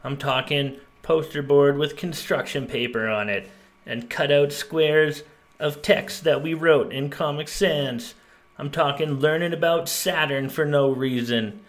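A man's voice: a little high, steady tone, measured pace. A young man co-hosting a casual podcast, talking in an upbeat, joking way. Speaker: podcast co-host.

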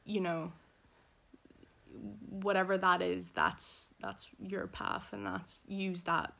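A woman's voice: mid-range voice, flat delivery, slow pace, gravelly, bright, forceful tone. A young woman with a Belfast accent, talking casually as an interview guest, rambling with many fillers. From Northern Ireland.

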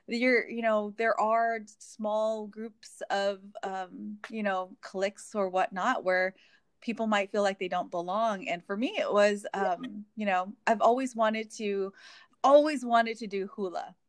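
A woman who grew up in Hawaii talks through her thoughts in a relaxed conversation. She circles back on her words, with plenty of fillers and restarts. Her voice sits at an ordinary pitch and the recording is close and dry. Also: unhurried, smooth, no room sound. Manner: reflective, many fillers and restarts. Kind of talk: casual conversation.

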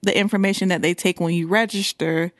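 A woman's voice: fairly low pitch, steady tone, a little fast, dry recording, studio quality. A pregnant woman in casual chat who laughs often and mimics typing sounds.

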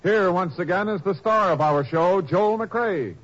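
A man announcing a 1950s radio drama in a measured, formal style. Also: high-pitched, muffled recording. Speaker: show announcer. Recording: old radio transcription with loud music.

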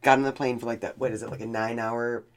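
A middle-aged woman co-hosting a podcast, telling a long funny story in a casual, animated way, stumbling over words and jumping into quotes. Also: extremely low pitch, fast talker. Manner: animated, jokey, lots of restarts and quoted voices. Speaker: podcast co-host.

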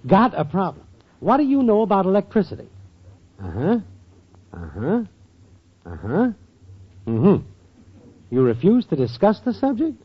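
A man's voice: sits high, huge pitch swings, a little slow, pauses often, exaggerated voice. An actor playing a cocky, slangy American wise-guy boyfriend in a 1940s radio sitcom. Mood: breezy. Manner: breezy, slangy, clipped. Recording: muffled, hissy old-time radio recording.

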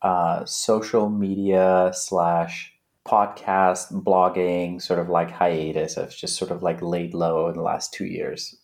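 Podcast guest: man, late 30s, from Afghanistan, very low voice, halting delivery. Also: flat delivery, darkish tone. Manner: halting, many restarts and fillers. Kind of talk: casual interview chat.

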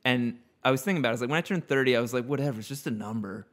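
A man in his thirties drifts through his thoughts in a relaxed podcast chat. His voice sits at an ordinary middle pitch, and he often backs up to rephrase before he goes on. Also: rapid-fire. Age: 30s. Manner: reflective, rambling, many restarts. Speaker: podcast guest. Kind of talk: casual chat.

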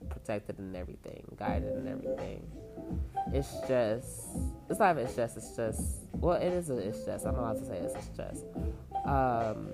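A woman hosting her own podcast in a loose, rambling chat at home, full of fillers and casual swearing, sounding a little stuffy from allergies. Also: a bass voice. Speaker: podcast host. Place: home, laundry dryer running. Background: lo-fi music playing under the voice.

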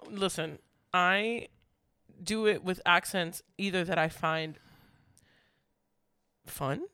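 Creaky-voiced man in casual chat. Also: high-pitched, slow pace, pushed and bright, dry recording, clean studio sound. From United States. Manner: laughs often, chatty and rambling. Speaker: podcast co-host.

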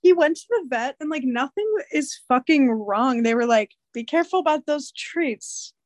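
Young woman, slightly nasal, high voice, dry close sound; rambling, animated casual storyteller. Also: moderate pace, dry recording. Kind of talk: casual chat.

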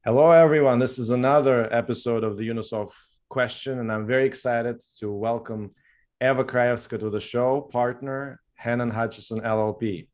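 A man's voice: medium pitch, steady tone, normal speed, a little mellow. A man hosting an interview podcast, friendly and earnest, with some hesitant fillers. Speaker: podcast host, lawyer.

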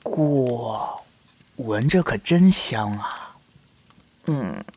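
A man playing a shy, naive young fox spirit in human form in a period romance audio drama, soft and hesitant, stammering when flustered. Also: mid-range voice, lively intonation, drawn-out words, a little hiss or hum. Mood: playful.

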